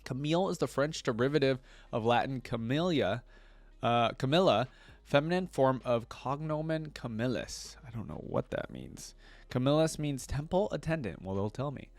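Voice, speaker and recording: A man doing casual reaction commentary, reading aloud and breaking off to think out loud and check in with listeners. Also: mid-range voice, lively intonation, moderate pace, dry recording. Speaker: video creator reacting to game character lore.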